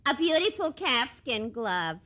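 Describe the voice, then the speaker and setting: Wisecracking telephone operator, sarcastic, slow, lively pitch, old radio comedy. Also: female voice, exaggerated voice. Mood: sardonic. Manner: drawling, sardonic. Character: sassy, sarcastic wisecracking female switchboard operator. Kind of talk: 1950s radio comedy acting. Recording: very noisy old radio recording.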